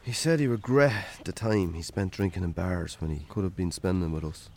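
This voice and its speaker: An actor playing a weary, wry Irish farmer in a naturalistic radio drama. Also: male voice, low-pitched, moderate pace, darkish tone. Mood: reflective, subdued.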